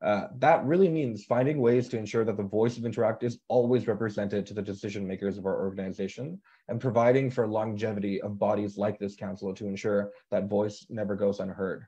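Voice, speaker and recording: A man's voice: lowish voice, steady tone, a little fast, hardly any pauses, warm tone. A young man chairing a council, moderating a live video call panel in an upbeat, encouraging way. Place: home room. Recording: slightly muffled video call audio.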